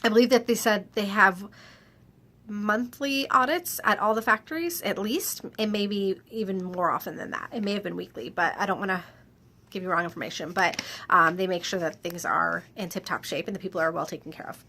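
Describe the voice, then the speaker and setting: A woman with a stuffy, slightly nasal voice, reading out viewer questions and answering them in a chatty video, often pausing with "um". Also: medium pitch, sharp tone. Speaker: direct-sales clothing consultant. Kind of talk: casual Q&A vlog.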